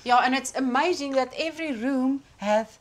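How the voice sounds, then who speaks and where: A woman's voice: high-pitched, moderate pace, roomy sound. A woman enthusiastically showing off a guesthouse and teasing her partner. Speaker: co-host guiding a guesthouse tour. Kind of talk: casual walkthrough chat.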